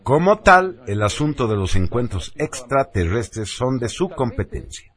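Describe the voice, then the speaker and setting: A man's voice: medium pitch, big pitch swings, unhurried, fairly bright tone. A man presenting radio news, reading scripted narration.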